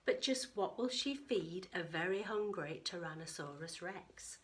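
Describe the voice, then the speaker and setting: A woman reading a picture book to young children in an expressive storytelling style, pausing for chatty questions and asides. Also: on the low side, slight background noise. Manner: animated, addresses young listeners with questions. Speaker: teacher reading story time.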